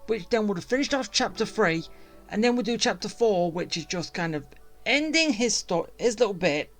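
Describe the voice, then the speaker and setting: A game streamer talking casually through gameplay with music playing behind. The delivery is rambling and a little grumbly, like someone thinking out loud. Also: mid-range voice, expressive, normal speed. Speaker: gaming streamer.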